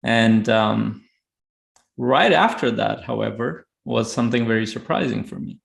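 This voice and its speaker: Low, very gravelly man telling his story. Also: animated. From Afghanistan. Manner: halting, many filled pauses. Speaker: podcast guest, high-performance coach. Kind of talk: reflective personal storytelling. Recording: roomy, echoey sound.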